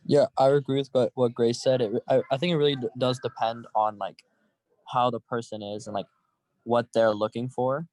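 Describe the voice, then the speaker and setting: A man's voice: mid-range voice, flat delivery, darkish tone, no echo. Stumbling teen boy, dry sound. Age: teens. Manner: quick, with stumbles and restarts. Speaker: student panelist.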